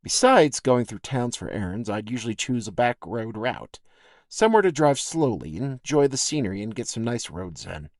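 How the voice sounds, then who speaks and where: A man with a slightly low-pitched voice narrates a first-person essay for a podcast. He tells it in a reflective, folksy manner. The sound is dry, with very little room in it. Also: sing-song, normal speed, no room sound. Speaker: podcast host and essayist reading own essay.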